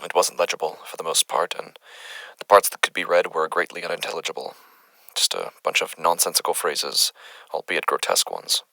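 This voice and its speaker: Low-voiced, halting doctor played by a man. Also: vocal fry, sharp tone, no echo, exaggerated voice, poor audio. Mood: grave, measured. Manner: halting, hesitant, stammering. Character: unsettled male doctor recording his findings. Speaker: lead character of a horror audio drama. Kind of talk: horror podcast monologue as recorded findings.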